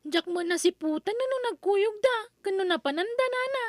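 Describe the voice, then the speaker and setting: Woman doing an astonished village gossip, extremely high voice, Ilocano accent, dry sound, comedy drama. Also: expressive, fairly quick, no room sound. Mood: astonished, inquisitive.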